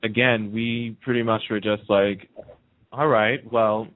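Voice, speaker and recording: A man's voice: on the low side, level tone, measured pace. A man hosting a talk show, chatting casually and giving opinions emphatically, often repeating a point for emphasis. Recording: narrow, muffled phone-like sound.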